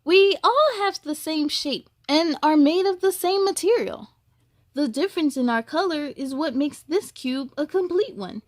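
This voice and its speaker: Woman as earnest young storybook cube, high-pitched. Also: expressive, a little slow, no room sound, clean studio sound. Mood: earnest, rallying. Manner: earnest, persuasive storybook speech. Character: young cube squares, earnest, wise, rallying for unity.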